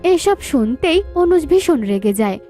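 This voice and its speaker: Female storyteller for scripted narration: high register, mellow tone, light music bed. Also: big pitch swings, measured pace.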